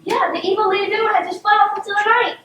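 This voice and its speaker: A young female performer playing an eager, excitable little girl at bedtime in a scripted drama. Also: very high-pitched, racing. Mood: excited. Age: child. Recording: roomy, distant, noisy room sound.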